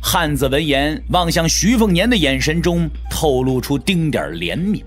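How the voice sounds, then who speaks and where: A man's voice: fairly high pitch, very animated, normal speed, faint music behind. A man narrating a martial-arts audio drama in a dramatic, scripted storytelling style.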